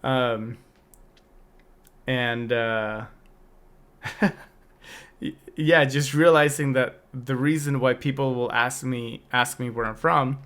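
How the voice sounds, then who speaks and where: A man's voice: mid-range voice, fairly even intonation, slow pace, long pauses, a bit bright, clear, resonant tone. A young adult man co-hosting a podcast, talking in a casual, reflective way with many restarts. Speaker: podcast co-host. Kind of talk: casual chat.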